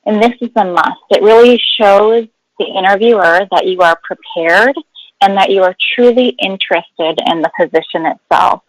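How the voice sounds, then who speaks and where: A woman's voice: mid-range voice, normal speed, dry recording, low-quality recording. A woman speaking as a guest HR expert, explaining in an instructive webinar style with emphasis on key words.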